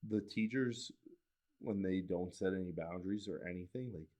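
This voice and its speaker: Low-voiced man talking earnestly. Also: normal speed, warm tone. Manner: earnest, rambling, many "like" fillers and restarts. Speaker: guest, school vice principal. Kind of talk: casual interview chat.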